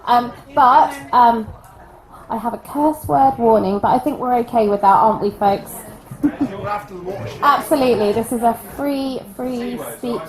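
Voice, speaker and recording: A woman's voice: a little high, a little slow. A woman hosting an open mic, introducing acts in a giggly, chatty way, heard from a distance. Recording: from far off with crowd behind.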